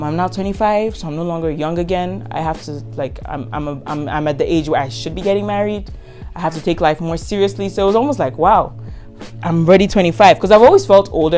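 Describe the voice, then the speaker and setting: A woman's voice: sits low, darkish tone. A Nigerian woman in her twenties, a content creator answering viewer questions in a casual vlog, frank and emphatic, repeating words for stress. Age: 20s.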